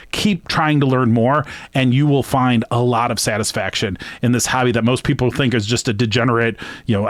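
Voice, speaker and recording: A man hosting a sports betting channel, talking alone to his viewers in a rambling, earnest, conversational way that swings between emphatic bursts and hushed asides. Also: mid-range voice, dry recording. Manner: long-winded. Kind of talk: reflective solo monologue to camera.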